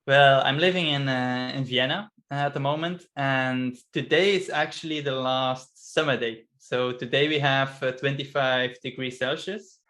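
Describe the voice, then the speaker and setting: A man's voice: medium pitch, fairly even intonation, moderate pace, few pauses, bright, forceful tone. Man, 40s, guest on a video call from home, thoughtful, many fillers and restarts. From Belgium. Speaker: guest, business coach. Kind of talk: casual interview chat. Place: home in Vienna.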